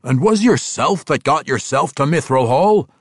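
A man's voice: fairly high pitch, lively intonation, normal speed, slightly rough, no echo, clean studio sound, voice pushed up. A man doing an eager old dwarf warrior. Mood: probing.

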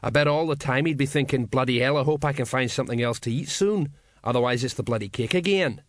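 A man's voice: mid-range voice, fast talker, no echo, clean studio sound, close to an everyday voice. Man doing a glum older watch sergeant. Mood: gloomy, flat. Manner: flat, glum, colloquial. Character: gloomy, plain-spoken older male watch sergeant.

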